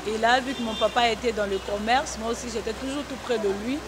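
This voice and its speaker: A woman gospel singer from the Congo-Zambia border speaks in a high voice. She answers earnestly, like giving a testimony, and often stops to restart a phrase. The broadcast recording carries a clear hiss of noise behind her. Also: steady tone, fast. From Congo-Zambia border region. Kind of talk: interview answers on a talk show.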